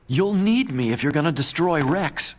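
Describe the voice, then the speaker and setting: An actor with an American accent playing an earnest, nerdy young scientist in a video game, anxious, idealistic and plaintive. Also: male voice, a little high, animated, moderate pace, slight background noise, lightly acted. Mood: urgent, determined. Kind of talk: dramatic video game voice acting.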